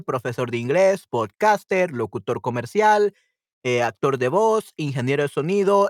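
Chatty Salvadoran man, fairly high voice. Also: no room sound, clean studio sound. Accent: Salvadoran Spanish. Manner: chatty and friendly, mixes in English asides to himself. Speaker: Spanish teacher streaming a lesson. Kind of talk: casual live-stream lesson.

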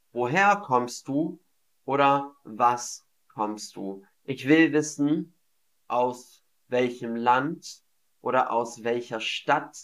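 A man teaching a live online language lesson, enunciating deliberately, repeating model phrases and encouraging his learners. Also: medium pitch, a bit bright. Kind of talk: live online German lesson, reading chat replies.

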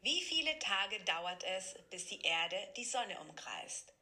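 A woman reading quiz questions in scripted, measured announcing. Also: medium pitch, big pitch swings, pushed and bright. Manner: measured, evenly read.

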